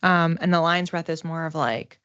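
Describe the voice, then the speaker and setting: Low-pitched woman, casual intuitive reader, muffled sound. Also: steady tone, fairly bright tone, smooth, dry recording. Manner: tentative, conversational, thinking aloud with pauses. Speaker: psychic reader giving live online readings. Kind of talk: casual live reading, improvised explanation.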